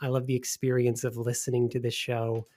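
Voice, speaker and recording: A man's voice: mid-range voice, completely flat delivery, fairly quick, mellow. A man hosting a podcast, talking with enthusiasm in both introductions and conversation. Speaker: podcast host. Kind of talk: scripted podcast introduction and casual interview talk.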